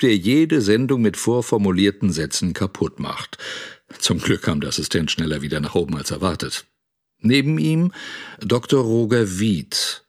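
A man acts a sardonic broadcast editor with a very low voice. He gives his account in a dry, measured way, as narration in a radio drama.

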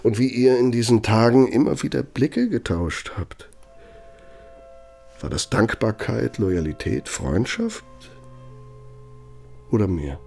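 An actor in a radio drama playing an aging, bitter Nazi architect, speaking in a reproachful, deliberate, rhetorical manner. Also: male voice, medium pitch, creaky, warm tone, faint music behind. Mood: jealous, wounded. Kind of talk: German radio drama monologue.

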